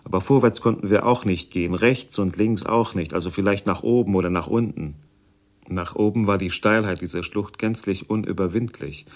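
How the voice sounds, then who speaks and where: A man's voice: sits low, moderate pace, no room sound. A man reading literary prose for a radio feature. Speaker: literary reader.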